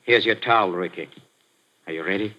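An actor playing a sinister, fawning old boxing trainer who talks softly and giggles, in a 1940s radio drama. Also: male voice, fairly low pitch, brisk. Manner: soft, ingratiating, giggling, menacing undertone. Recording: hissy lo-fi old radio recording.

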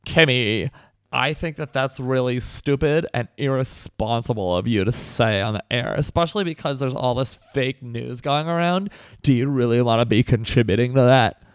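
A man's voice: normal speed, clean tone, no echo, studio quality, close to an everyday voice. A man voicing a smug, prim woman who runs a cupcake shop, barely changing his own voice. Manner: smug, prim, lower put-on voice.

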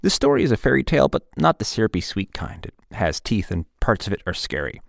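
A man's voice: lowish voice, huge pitch swings, fast talker, gravelly, no room sound, clean studio sound. A man announcing a scripted introduction to an audio drama.

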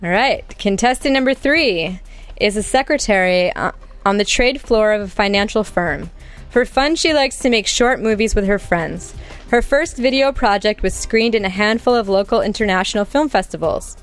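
A woman's voice: medium pitch, normal speed, faint music behind. A woman hosting a podcast dating game, reading contestant bios in a scripted way. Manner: reads contestant bios smoothly. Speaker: podcast host.

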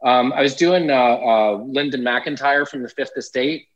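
A man's voice: mid-range voice, moderate pace. A Canadian man, an actor guest on a chat show, wry and self-deprecating. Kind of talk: casual interview chat. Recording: dull lo-fi sound.

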